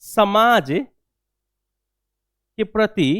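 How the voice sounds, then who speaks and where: A man's voice: sits high, expressive, extremely slow, a bit bright, smooth, no room sound, studio quality. A man teaching history for exam coaching, lecturing didactically, repeating key phrases and asking rhetorical check questions. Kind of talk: classroom lecture with dictated answer points.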